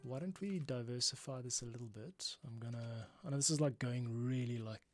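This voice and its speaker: A man composing on a livestream, muttering casual, halting commentary to himself as he works. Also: mid-range voice. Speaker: music composer livestreaming his work. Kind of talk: casual thinking-aloud work commentary. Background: instrumental music playback.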